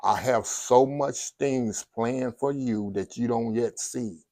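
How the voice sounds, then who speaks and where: Man in his fifties, earnest testimony, dry close recording, mid-pitched, repeats himself. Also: takes it slowly, warm tone, dry recording. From Texas. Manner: earnest, repeats phrases, addresses viewers as y'all. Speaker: vlogger sharing a personal story. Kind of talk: emotional personal testimony.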